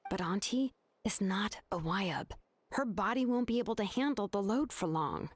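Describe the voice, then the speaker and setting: Give the game's young researcher played by an actress a medium-pitched female voice. She is composed and principled. She lays out her reasoning in measured, articulate sentences with quiet firmness. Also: animated, slight background noise, natural voice. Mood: serious, reasoning. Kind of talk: scripted game dialogue.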